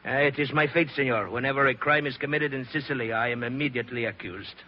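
A man's voice: medium pitch, quick pace, lightly acted. Suave Italian-accented bandit chief, played by a man, old radio. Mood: suave, mocking. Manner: courtly, mock-polite, boastful. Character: suave, vain male Sicilian bandit chief, menacing and theatrical. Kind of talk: 1940s radio drama acting. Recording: dull, noisy old radio recording.

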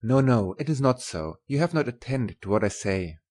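A big-hearted German professor voiced by a woman narrator from a classic storybook. The voice drops deep and booms out with a German accent. It swings expressively, emphatic and old-fashioned in its phrasing. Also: expressive, moderate pace, a little mellow. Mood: exasperated. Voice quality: big, booming voice. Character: stout kindly middle-aged German male professor, big-hearted and emphatic.

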